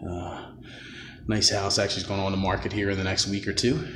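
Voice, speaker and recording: A man's voice: deep voice, little pitch movement, fairly quick. A man casually narrating his own painting work as a handyman, talking off the cuff in an informal, rambling way. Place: small empty concrete basement room. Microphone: handheld phone or camera held by speaker. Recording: very echoey bare room, background noise.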